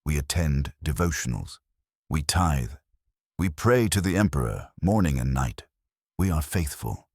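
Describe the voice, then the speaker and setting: A male narrator plays a devout laborer of forty in a dark war story. He is steady and earnest, pleading in short statements with a very deep, dry voice. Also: a little slow, husky, dry recording, heavily acted. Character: steadfast, pleading forty-year-old working man, devout civilian.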